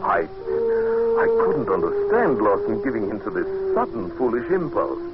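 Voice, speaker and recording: A man's voice: mid-range voice, huge pitch swings, unhurried. An actor playing an earnest English businessman who narrates an eerie tale in an articulate, theatrical old radio drama style. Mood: awed, uneasy. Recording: dull noisy old radio recording.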